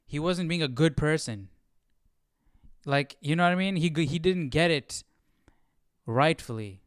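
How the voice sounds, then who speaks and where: A man's voice: a little high, expressive, a little slow, clear, resonant tone, no echo, clean studio sound. A man hosting a casual live Bible study, thinking aloud and questioning, often checking with "right?".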